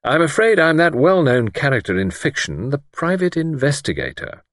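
A man voicing a wry, gently persistent gentleman investigator in an audiobook, asking patient, probing and direct questions. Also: fairly high pitch, sing-song, normal speed, no echo, clean studio sound, voice pushed up. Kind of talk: audiobook character dialogue.

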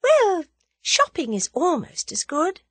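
A woman's voice: high-pitched, very animated, normal speed, fairly bright tone, no room sound, voice pushed up. A woman voicing a spirited, teasing young woman in love in an audiobook, playful and eager. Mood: dreamy, bold. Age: young adult. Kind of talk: audiobook character dialogue.

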